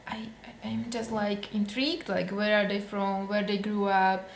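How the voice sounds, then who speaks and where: A woman's voice: mid-range voice, little pitch movement, measured pace, creaky, roomy sound, a little hiss or hum. A young woman from Slovakia with a Slovak accent, venting casually to camera in a vlog, with many fillers. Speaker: vlogger.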